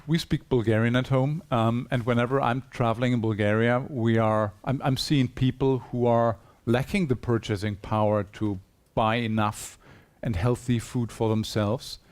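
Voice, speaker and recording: Brief: male conference speaker on stage, mid-range voice, earnest and persuasive, speaks into a stage mic. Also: mid-range voice. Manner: earnest, persuasive, poses rhetorical questions to the audience. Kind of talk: conference presentation. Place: conference hall.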